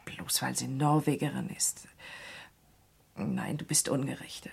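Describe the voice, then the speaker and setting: An actress playing an anxious, trusting businesswoman in a German radio crime drama, speaking in a worried, earnest, often hushed way. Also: a bass voice, steady tone, measured pace, vocal fry, husky, whispered, full character voice. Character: anxious, trusting businesswoman caught in a smuggling plot.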